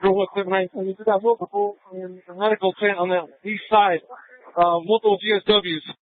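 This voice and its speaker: A man speaks as a police officer in urgent emergency radio traffic. His voice is high for a man and comes through a thin, narrowband radio channel. The delivery is clipped, and the sound is dry. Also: level tone, normal speed, dry recording.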